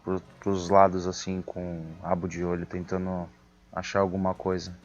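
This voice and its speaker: Low-voiced man playing a tabletop game. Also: monotone, moderate pace, lo-fi sound. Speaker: player in a role-playing game. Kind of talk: casual description of character actions.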